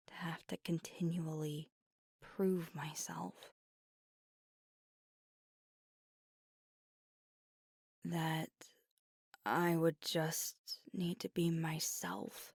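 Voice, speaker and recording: A woman acts out a young werewolf girlfriend in an ASMR audio roleplay, fiery at heart but weary and guarded. Her voice is low-leaning, husky and crackling with fry, right up against the listener in a dry space. She confides in a tender, halting hush. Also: dry recording, exaggerated voice. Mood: weary, confiding. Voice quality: breathy, husky. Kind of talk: ASMR roleplay, intimate scripted monologue.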